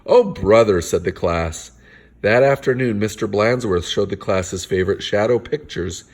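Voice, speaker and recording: A man's voice: lowish voice, normal speed. A man reading a picture book aloud as a father to kids, with playful, theatrical delivery and casual asides.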